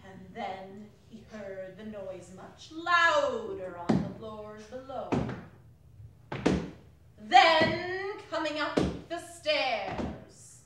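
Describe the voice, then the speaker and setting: A woman narrating a story on stage, theatrically drawing out her words and swelling from hushed to loud. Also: on the high side, expressive, very slow. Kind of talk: dramatic scripted storytelling on stage. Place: theatre hall at a live reading. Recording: very echoey hall, distant mic, some noise.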